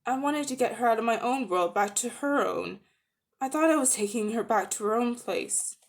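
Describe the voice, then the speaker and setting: A woman's voice: on the high side, lively intonation, normal speed. A woman voicing an earnest, guilty young boy who speaks hesitantly, politely and pleadingly. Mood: nervous.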